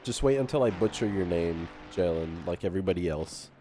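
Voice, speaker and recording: Nasal guy w a cold doing race commentary, giggles a lot, lively up and down voice, noisy stream mic. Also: deep voice, brisk. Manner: giggly, laughs often, playful. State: has a cold. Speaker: sim racing commentator.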